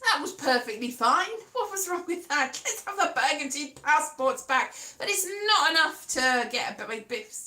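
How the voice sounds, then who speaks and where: A woman's voice: high-pitched, expressive, normal speed, few pauses, bright, forceful tone. A Welsh woman chatting casually and rambling, correcting herself as she goes and slipping into playful sing-song. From Wales. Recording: echoey room with faint guitar music.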